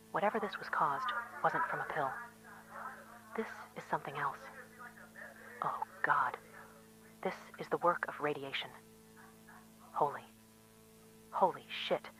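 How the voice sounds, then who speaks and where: A woman's voice: sits low, bright, forceful tone, full character voice, rough recording. A woman playing a smart, alarmed friend explaining a monster in a dramatic horror monologue. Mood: shocked. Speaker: character in an analog horror story.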